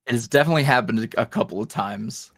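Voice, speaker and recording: A man's voice: medium pitch, rapid-fire, gravelly, no room sound, studio quality. A man talking casually as a podcast guest, rambling and self-deprecating, with lots of fillers. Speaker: podcast guest, ASMR roleplay creator. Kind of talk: casual interview chat.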